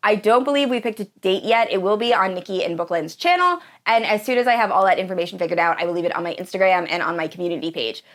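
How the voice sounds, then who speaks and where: A woman's voice: medium pitch, a bit bright. A woman in her thirties chatting casually and enthusiastically to viewers as a book vlogger, with a gushing manner. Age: 30s. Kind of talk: casual chat to viewers about books.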